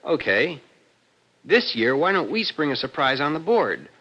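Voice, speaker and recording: An actor playing a clever, conceited, argumentative younger brother, an American law student, in an old-time radio drama. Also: male voice, on the high side, sing-song, a little slow, bright, forceful tone, breathy edge, dull audio, full character voice. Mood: scheming, confident. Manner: argumentative, assertive.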